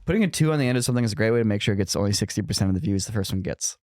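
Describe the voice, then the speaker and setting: A soft-spoken, quiet man co-hosting a casual podcast chat. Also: on the low side, animated, racing, no echo, clean studio sound. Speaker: podcast co-host.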